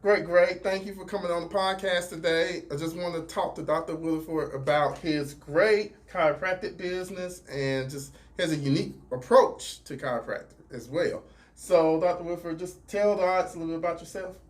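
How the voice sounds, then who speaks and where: A man's voice: on the high side, fairly even intonation, fairly quick. A man hosting a casual podcast interview, upbeat and polite, often saying "all right" and "mm-hmm". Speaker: podcast host.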